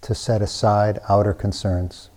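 A man leading a guided meditation, speaking in sparse, hushed phrases with long pauses between them. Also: fairly low pitch, little pitch movement. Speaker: meditation teacher.

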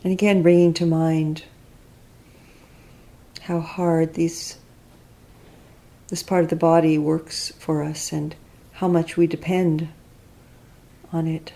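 A woman with a dark, relaxed, fairly low voice leads a guided meditation. She speaks very slowly and gently, leaving long pauses between short, reflective instructions. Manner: unhurried, gentle, reflective phrasing. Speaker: meditation teacher leading a guided body scan.

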